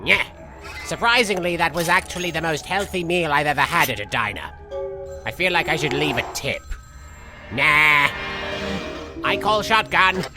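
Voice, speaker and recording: A man's voice: a little high, lively intonation, moderate pace, long pauses, close to an everyday voice. A man voicing a silly, self-absorbed young cartoon villain who whines and rambles theatrically through comedic video game commentary, with music behind him. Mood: flippant. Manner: whiny, theatrical, rambling absurd asides. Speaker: in-character let's play host.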